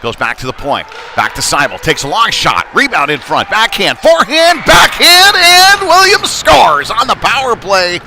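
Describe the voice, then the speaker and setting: Man calling hockey over clear rink noise. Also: high-pitched, moderate pace, hardly any pauses. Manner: casual, unpolished, conversational between plays; excited calls when play heats up. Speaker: hockey play-by-play commentator. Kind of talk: live sports commentary of a youth ice hockey game. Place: indoor ice rink. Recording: noisy live rink stream, clear background noise. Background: rink ambience and noise.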